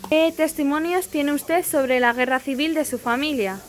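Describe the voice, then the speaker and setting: A woman's voice: sits high, moderate pace. A woman working as an interviewer, putting prepared questions to people about their memories.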